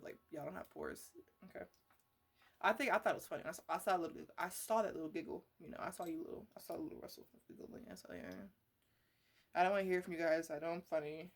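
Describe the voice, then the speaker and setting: A woman's voice: lowish voice, slightly rough. A woman playing a teasing, slangy botanist who chats to her plants in a casual ASMR roleplay. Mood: playful, doting. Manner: rambling, bantering, slangy.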